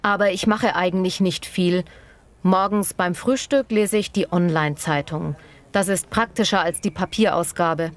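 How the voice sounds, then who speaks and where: Actress as practical female survey respondent, lowish register, considered and factual, scripted learner-dialogue delivery. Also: moderate pace, close to an everyday voice. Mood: matter-of-fact. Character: practical working woman stopped for a street survey. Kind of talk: scripted language-lesson dialogue.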